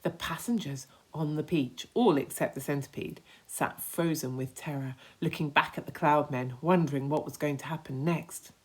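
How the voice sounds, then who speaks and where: Low-pitched woman narrating a children's story. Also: normal speed, hardly any pauses, slightly rough. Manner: expressive, dramatic emphasis. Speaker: teacher reading aloud.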